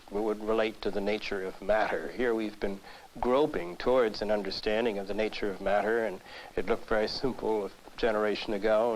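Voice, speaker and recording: A man giving a thoughtful, deliberate interview answer as a scientist, pausing and hesitating as he explains. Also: lowish voice. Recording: faintly noisy 1960s film soundtrack.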